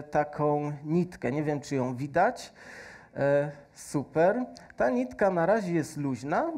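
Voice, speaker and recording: A man in his thirties doing a stage science demonstration, explaining slowly with many fillers and adding a faith message, on a headset mic. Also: a little high, a little mellow. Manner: slow, patient explaining with frequent fillers. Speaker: science presenter. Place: large stage with audience hall.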